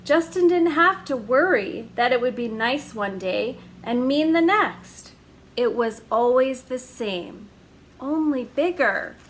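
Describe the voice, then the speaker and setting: A woman hosting a children's story hour, reading a picture book aloud in a gentle, expressive way with drawn-out emphasis. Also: high voice, slight background noise.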